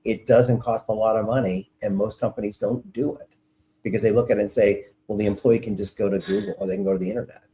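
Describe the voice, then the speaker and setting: A middle-aged man, a guest on an interview, making emphatic points and reasoning out loud with restarts. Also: lowish voice, steady tone, fast talker, slight background noise, poor audio. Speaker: guest, relocation author. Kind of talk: casual interview answers.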